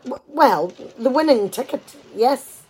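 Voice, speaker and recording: A sarcastic, chatty wife in a radio comedy. Her voice is highish and slightly husky, and it swings widely as she fires off indignant questions in an exasperated way. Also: expressive, measured pace, a little mellow, exaggerated voice, low-quality recording. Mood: explaining. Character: exasperated, sarcastic, talkative wife at a kitchen table. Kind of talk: radio play comedy dialogue.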